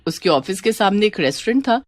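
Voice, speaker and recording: A woman's voice: medium pitch, lively intonation, fast talker, dry recording, clean studio sound. A woman reading a scripted story as its narrator.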